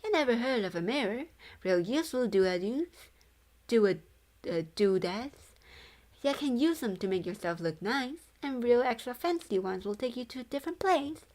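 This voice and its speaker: A woman's voice: fairly high pitch, lively intonation, moderate pace, darkish tone, lightly acted. A woman voicing a friendly, chatty ghost with folksy, loose-grammared speech in a storybook read-along. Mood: helpful, folksy.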